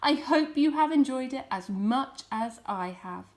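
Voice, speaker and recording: A woman's voice: sits high, animated, measured pace, a little mellow, pitched above the performer's own voice. A woman voicing a jovial, confident male fox leader, making rousing speeches in a children's storybook. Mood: jovial, grand. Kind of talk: children's storybook character reading.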